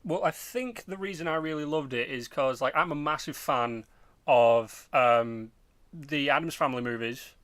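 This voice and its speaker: A man in his twenties talks as a podcast host in a casual, animated storytelling manner. His voice sits at an average pitch, and the sound is dry with very little room in it. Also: normal speed, no echo. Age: 20s. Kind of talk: casual chat about films and games.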